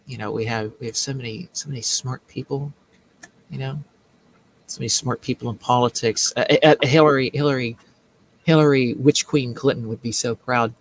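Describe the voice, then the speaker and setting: A man's voice: medium pitch, steady tone, normal speed, slight background noise, poor audio. A man hosting a political commentary show in a casual, joking way, rambling and repeating himself as he mocks. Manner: rambling, repetitive, mocking.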